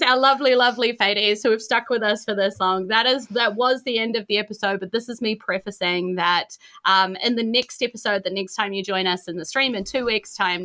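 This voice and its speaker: A woman with a New Zealand accent speaks as a game master and podcast host. Her voice is bright and forceful at a middle pitch, and she repeats phrases for effect. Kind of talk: tabletop game narration and casual hosting.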